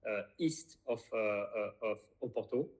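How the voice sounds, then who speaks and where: Hesitant low-voiced man. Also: a little slow, a little mellow. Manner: hesitant, many fillers and restarts. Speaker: podcast co-host. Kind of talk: casual chat.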